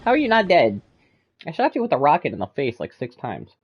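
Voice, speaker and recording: A man's voice: a little high, huge pitch swings, a little fast, husky, muffled recording. A man hosting a video game playthrough with casual, joking commentary.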